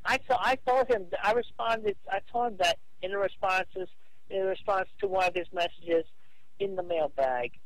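A man with a high-pitched voice argues his case over the phone. He is insistent but halting, often repeating words as he goes. The recording is dry and without echo. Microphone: telephone call.

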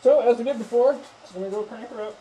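A man's voice: extremely high pitch, fast talker, dark tone, muffled recording. A man demonstrating spray finishing in his home garage, thinking aloud casually and restarting his sentences. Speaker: home woodworker. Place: home garage paint booth. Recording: hissy spray and compressor noise.

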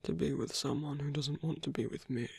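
A man playing a seductive, smug villain in an intimate ASMR roleplay, whispery, slow and coaxing. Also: medium pitch, fairly even intonation, gravelly, darkish tone, husky, dry recording. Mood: measured, courteous. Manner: slow, whispery, teasing, deliberate. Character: seductive male villain, smug, coaxing, possessive yet courteous.

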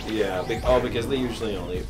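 A man's voice: lowish voice, quick pace, over loud music. A man chatting and joking with friends while they play a video game, swearing and laughing often. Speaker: let's play co-host. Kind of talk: casual gaming banter.

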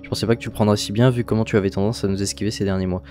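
A man's voice: lowish voice, fairly even intonation, very fast, dark tone, faint music behind, lightly acted. A man voicing a candid, caring teenage schoolboy in a visual novel, in a plain inquisitive way. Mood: curious.

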